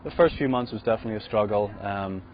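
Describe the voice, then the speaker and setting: A man from Ireland coaches a college tennis team and talks it over in a fairly low voice, pausing and restarting as he thinks. The sound is a bit dull, with a faint hiss behind it. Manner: thoughtful, with small restarts. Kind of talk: casual interview answers.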